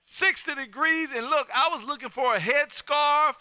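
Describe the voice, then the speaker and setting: A man's voice: very high voice, moderate pace, pushed and bright, dry recording. A folksy, exuberant man, chatting casually as a ham radio host who peppers his talk with "man". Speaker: amateur radio operator and live stream host.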